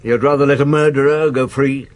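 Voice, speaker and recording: An actor playing a shrewd, commanding police chief inspector in a radio detective drama, probing and authoritative. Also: male voice, medium pitch, fairly even intonation, brisk, close to an everyday voice.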